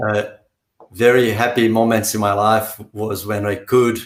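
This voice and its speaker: Low-voiced man, halting speech. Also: little pitch movement. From South America. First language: not English. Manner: halting, many restarts and fillers. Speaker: guest, company director. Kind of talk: casual interview talk. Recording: roomy echo.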